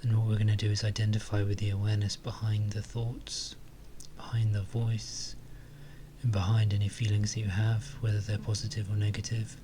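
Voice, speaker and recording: A man guides a meditation in a fairly low, very even voice. He speaks slowly and softly, pausing often as he gives calm instructions. There is some noise in the recording. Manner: calm, hesitant, unhurried phrasing with long pauses.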